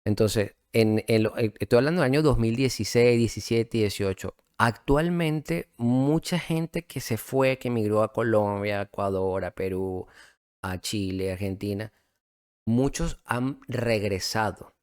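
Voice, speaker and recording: Venezuelan man with a low voice. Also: measured pace, clean tone, no echo, clean studio sound. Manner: relaxed, reflective, with many fillers and restarts. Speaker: interview guest. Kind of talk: casual conversational storytelling.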